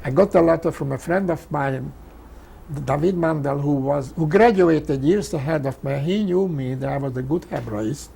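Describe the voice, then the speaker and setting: An elderly man, a native Hungarian speaker with a Hungarian accent, telling his life story in an emphatic, halting way, often repeating phrases. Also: fairly high pitch, vocal fry, dark tone, a little hiss or hum. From Czechoslovakia, Hungarian-speaking. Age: 80s or older. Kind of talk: oral history interview, personal storytelling.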